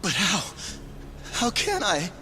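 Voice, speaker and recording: An actor playing a desperate, foul-mouthed, loyal young yakuza underling in a dramatic video game cutscene. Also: male voice, sits high, wildly swooping pitch, takes it slowly, background noise, exaggerated voice. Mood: pleading, scared. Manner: swearing, stammering under pressure.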